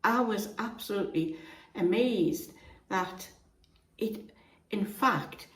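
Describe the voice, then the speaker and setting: A woman with a croaky voice from being unwell, chatting to camera in a homely, rambling way with frequent restarts. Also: medium pitch, slow pace. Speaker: vlogger. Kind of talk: casual chat to camera. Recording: echoey room.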